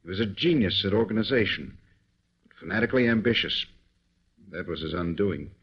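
A grave, measured government official who lays out evidence calmly, a 1940s radio drama. His voice is fairly low and husky. The recording is dull and muffled. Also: exaggerated voice. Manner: measured, authoritative.

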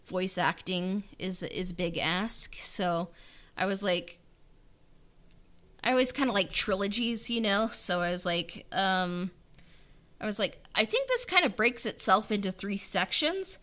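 Woman just chatting, slowish and kinda rambly, bright clear-cut tone, says like and you know a lot. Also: medium pitch, animated. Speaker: podcast guest and audio drama writer-director. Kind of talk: casual unscripted chat.

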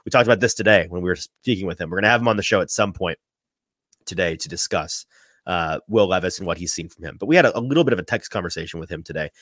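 A man hosting a sports talk podcast, sniffly from a sinus infection, animated and often repeating his first words as he starts a thought. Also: low voice, fast talker, dry recording, clean studio sound. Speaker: podcast host.

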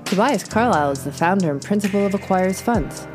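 A woman reading a formal legal disclaimer in an even, scripted way. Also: medium pitch, quick pace. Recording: read over clear music. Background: music.

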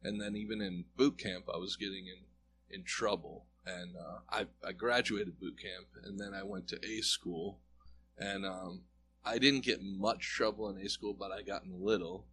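Low-voiced man telling a personal story. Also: steady tone, moderate pace, bright, forceful tone, a little hiss or hum. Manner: earnest, reflective, acts out quoted dialogue. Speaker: guest sharing a personal testimony. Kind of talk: conversational storytelling.

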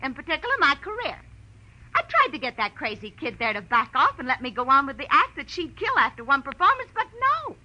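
A brassy American showgirl in an old radio crime drama. Her voice is high and very sharp, swinging lively in pitch. She is sassy, scheming and defiant, a tough talker. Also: fairly quick, muffled recording, full character voice. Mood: cool, menacing. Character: brassy, hard-edged female nightclub performer, scheming and defiant. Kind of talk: 1940s radio noir acting.

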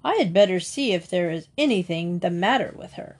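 A woman's voice: on the low side, lively intonation, a little fast. A woman voicing a watchful, resolute male huntsman in a storybook. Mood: concerned. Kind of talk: storybook character voice.

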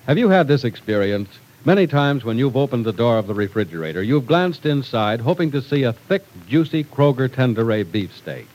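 A man's voice: mid-range voice, very animated, a little fast, hardly any pauses, a little mellow, low-quality recording. A man announcing on 1940s radio, reading scripted sponsor copy and story narration in an old broadcast recording.

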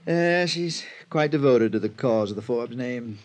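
An actor playing a carefree, flippant rich playboy in a classic radio drama, breezy, genteel and amused, with a mannered delivery. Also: male voice, medium pitch, expressive, a little slow, natural voice. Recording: old radio broadcast recording.

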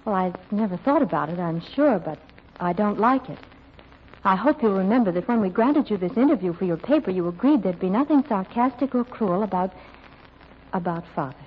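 Primly self-controlled young daughter, preachy, formal, husky voice, old radio play, dull muffled recording. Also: female voice, medium pitch, lively intonation, a little fast. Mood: prim, guarded. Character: prim, earnest, pious-sounding daughter, primly self-controlled. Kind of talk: old-time radio acting.

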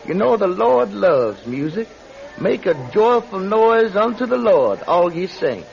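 An actor playing a genial, pious older traveling preacher in a radio drama, with courtly, unctuous phrasing. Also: male voice, sits high, very animated, a little slow, gravelly, heavily acted. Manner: courtly, unctuous, quaintly formal. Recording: slightly dull radio recording.

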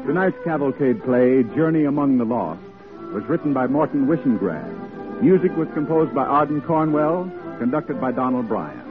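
A man's voice: medium pitch, expressive, moderate pace. An American man announcing formally and with polish in 1940s scripted radio style. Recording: tinny vintage radio broadcast, music behind.

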